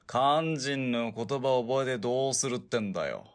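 A man playing a gruff, world-weary gunman in a Japanese radio drama, speaking bluntly and wryly in rough colloquial speech. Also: medium pitch, animated, normal speed, close to an everyday voice. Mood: irritated. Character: gruff, world-weary male sniper-team partner, wryly nagging.

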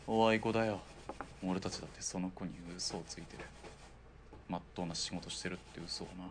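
A man playing a wry, low-voiced outlaw spotter. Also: fairly even intonation, fairly quick, noisy background, close to an everyday voice. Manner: gruff casual speech, dry retorts. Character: wry, rough-spoken male sniper's spotter, outlaw. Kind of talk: radio drama acting, conversational.

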